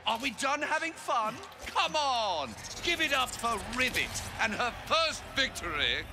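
A man playing a gloating, theatrical robot emperor in a video game, with a pompous, drawn-out delivery. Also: extremely high pitch, sing-song, noisy background, exaggerated voice. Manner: pompous, grandiose, drawn-out showmanship. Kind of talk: animated video game acting.

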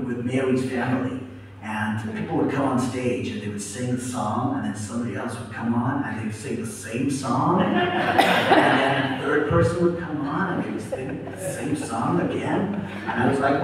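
A Welsh man being interviewed on stage at a live event, chatty and telling stories with asides. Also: on the high side. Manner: chatty, warm, storytelling with asides. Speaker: interviewed author and radio presenter. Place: town hall at a live event. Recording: echoey hall from far off, faint noise.

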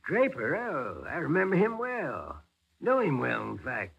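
An irascible old grandfather in a 1940s radio play. His voice is gravelly and husky, swinging widely as he stammers and blusters. The recording is muffled and old. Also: fairly high pitch, huge pitch swings, a little slow, a bit bright, full character voice. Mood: gruff recollection. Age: older.

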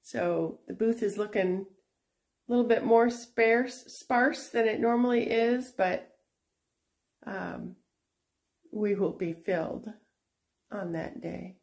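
A middle-aged woman talks slowly and chattily to her followers. She fills her sentences with little pauses and restarts. Her voice sits at an ordinary mid pitch, and she is recorded on a phone inside a small shop. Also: creaky, a little mellow. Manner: chatty, unhurried, with frequent fillers and restarts. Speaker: antique shop owner and channel host. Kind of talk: casual vlog walkthrough. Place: indoor antique shop booth.

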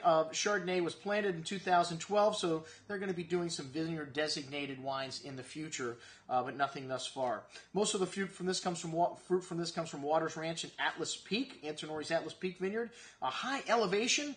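A man's voice: sits high, fairly bright tone. A man in his forties reviewing wines on camera in a wine shop, chatting casually with many fillers and running through tasting notes. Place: wine shop set with shelves, indoors.

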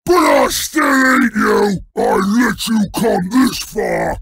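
A man's voice: sits high, slow, a bit bright, no echo, studio quality, heavily acted. An actor voicing a hulking, boastful, ever-hungry male troll king for a fantasy video game, growling and bellowing in blunt, broken speech. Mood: aggressive, roaring. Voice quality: gravelly, growling.

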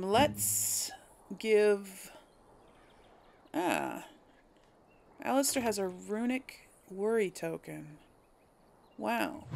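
A woman hosts a casual let's play in a mid-pitched, creaky voice. She takes her time, thinking out loud to viewers, with faint game sound behind her. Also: a little hiss or hum. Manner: musing aloud, chatty to viewers. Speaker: gaming video host. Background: video game ambience and effects.

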